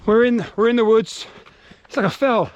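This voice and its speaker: A man's voice: sits high, moderate pace, lo-fi sound. A man with an East Midlands English accent hosting a casual, encouraging chat while running outdoors on a park trail. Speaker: host interviewing a club runner.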